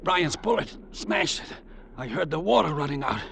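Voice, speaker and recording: A grim, bitter gang boss in a vintage radio thriller. His voice is creaky and fairly high for a man, broken into clipped phrases and dramatic pauses. The old recording is clearly noisy. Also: expressive. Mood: weak, fatalistic. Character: commanding male crime boss, bitter and grim. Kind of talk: 1950s radio drama acting.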